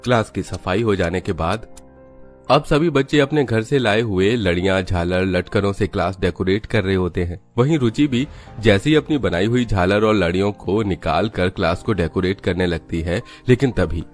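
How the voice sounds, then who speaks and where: Man, low pitch, children's storybook narrator, scripted delivery, soft music behind. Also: normal speed.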